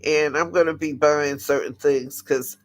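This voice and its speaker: A raspy-voiced man from the United States, hosting a casual livestream talk and speaking in a halting way with many restarts. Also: fairly high pitch, steady tone, dry recording, rough recording. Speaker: channel host.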